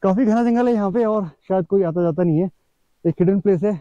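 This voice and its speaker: A joking, chatty man talks to his camera on a forested hillside, his voice sitting fairly high for a man. He addresses viewers as friends in a relaxed, casual vlog. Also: quick pace, dry recording, dull audio. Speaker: travel vlogger. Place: outdoors on a forested mountainside.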